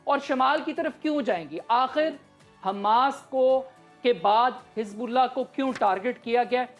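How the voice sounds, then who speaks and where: A woman with a Pakistani accent speaks in a fairly high voice. She delivers live political commentary as an analyst, explaining each point with emphasis, with music underneath. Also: measured pace, dull audio. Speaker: analyst on a current affairs show.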